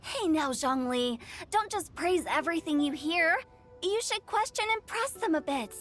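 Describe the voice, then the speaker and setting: Game cutscene: a mischievous, bright-voiced young woman; bold and teasing. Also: extremely high pitch, lively intonation, normal speed, soft background music. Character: playful, teasing young woman host of a poetry contest.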